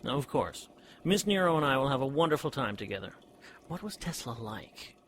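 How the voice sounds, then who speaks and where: A man's voice: mid-range voice, big pitch swings, normal speed, heavy background noise. An actor playing an eager, eccentric scientist in a period radio drama, precise and earnest as he lectures. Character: eager eccentric male scientist, brother of a detective.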